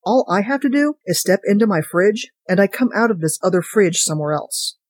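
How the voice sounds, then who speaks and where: A wry, put-upon everyday character read out by a podcast host tells a tale in a chatty, sardonic monologue. The voice sits at a middle pitch and is recorded close and dry. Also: lively intonation, brisk, no room sound, close to an everyday voice. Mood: wry, grumbling. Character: wry, chatty, put-upon ordinary fridge-portal owner. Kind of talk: first-person short story reading.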